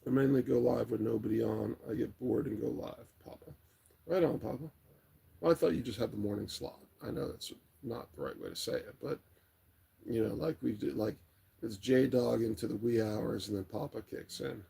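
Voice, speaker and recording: Very raspy, gravelly man, casual livestream chat. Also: mid-range voice. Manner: rambling, unhurried, relaxed. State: voice tired and getting raspy late at night. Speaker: livestream host. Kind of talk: casual chat, reading viewer comments aloud.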